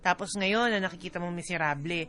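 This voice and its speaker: Bright, emphatic Filipino female radio DJ. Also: fairly low pitch, quick pace. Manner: emphatic, blunt, scolding advice. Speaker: radio DJ co-host. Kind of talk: casual radio advice banter.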